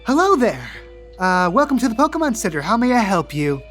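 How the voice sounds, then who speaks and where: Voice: mid-range voice, very animated, fairly quick, fairly bright tone, voice pushed up. A game master voicing a cheery, slightly flustered small-town nurse, politely helpful, in a tabletop roleplay podcast. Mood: surprised, eager. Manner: bubbly, polite customer-service patter. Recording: music under the voice.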